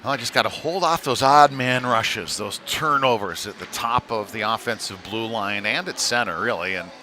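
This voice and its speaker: A man's voice: on the low side, moderate pace, sharp tone. A man doing casual, conversational play-by-play commentary on a live hockey game, with slightly hesitant phrasing. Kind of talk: live amateur sports commentary. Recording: noisy live arena sound.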